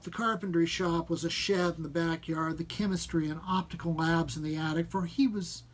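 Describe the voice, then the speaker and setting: A man narrating a first-person short story, reading it aloud. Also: high-pitched. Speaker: story reader.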